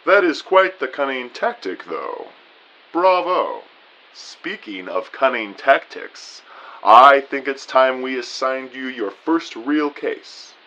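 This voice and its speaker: Male actor as genial, pompous chief in radio play, booming and bright, unhurried, lively intonation, slightly lo-fi. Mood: genial, businesslike. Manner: pompous, genteel, formal phrasing. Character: booming, jovial, courteous male agency boss. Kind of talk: audio drama dialogue.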